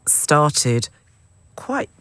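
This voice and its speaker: A woman hosting a conversational radio interview, putting her points in an expressive, storytelling way. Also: deep voice, drawn-out words, clean tone, no echo.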